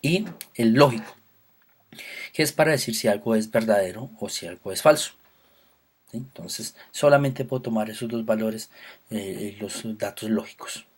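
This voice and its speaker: A man's voice: lowish voice, normal speed, husky. A man from Colombia, teaching a recorded programming lesson with patient explanations, frequent fillers and self-corrections. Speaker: programming instructor. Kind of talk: recorded lecture.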